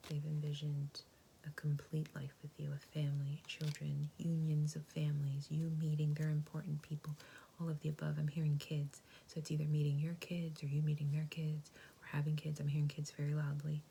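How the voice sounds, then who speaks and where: A woman giving a tarot reading in a soft, gentle, reassuring whisper. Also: deep voice, monotone, brisk, vocal fry, a little hiss or hum. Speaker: tarot reader.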